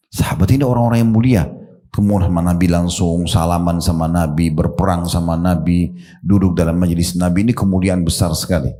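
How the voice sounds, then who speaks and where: Calm low-voiced male preacher. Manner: explains with everyday examples and repeated questions; addresses his listeners directly. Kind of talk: sermon.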